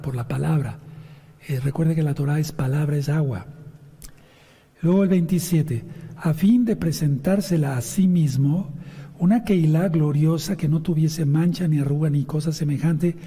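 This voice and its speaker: A man preaching and teaching from scripture in a hoarse voice, addressing his listeners directly with questions and instructions. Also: on the high side, dark tone. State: hoarse voice from sinusitis after an operation. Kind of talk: sermon with scripture reading and commentary. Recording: long reverberant hall sound.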